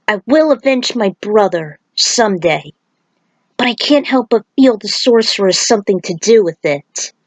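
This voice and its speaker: A woman's voice: medium pitch, animated, normal speed, dry recording, close to an everyday voice. Woman voicing a grave vengeful ninja warrior. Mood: grave, brooding. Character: solemn vengeful male ninja warrior grieving his brother.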